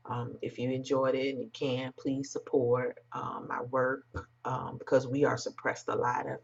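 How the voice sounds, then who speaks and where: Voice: mid-range voice, gravelly, husky. An American commentator with an androgynous voice, talking bluntly and emphatically in informal, unscripted remarks to online viewers. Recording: dull, muffled lo-fi sound.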